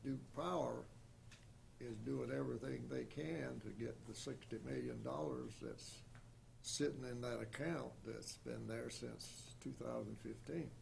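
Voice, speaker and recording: Gravelly slow-talking guy running a town board meeting, serious, faint room hiss. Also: medium pitch, a little mellow. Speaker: board chair. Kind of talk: meeting discussion.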